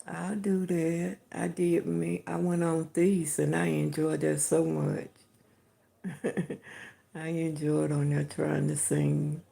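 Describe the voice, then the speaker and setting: An older woman with a distinctive voice, hosting a livestream and chatting affectionately with her viewers in an unhurried, chuckling way. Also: low-pitched, little pitch movement, vocal fry, warm tone. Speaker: livestream host greeting viewers. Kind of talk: casual chat answering comments.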